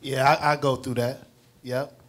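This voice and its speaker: A man, the playwright, talking in a casual panel interview, animated and repeating himself in quick bursts, on a handheld mic in a wood-walled restaurant room. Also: mid-range voice. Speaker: playwright and producer.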